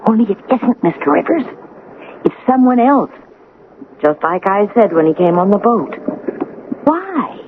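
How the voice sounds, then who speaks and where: A nervous amateur sleuth, fretful and hushed-secret urgent, old radio drama, noisy tinny audio. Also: female voice, medium pitch, very animated, unhurried, long pauses. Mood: frightened. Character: frightened amateur woman detective, earnest and breathlessly worried.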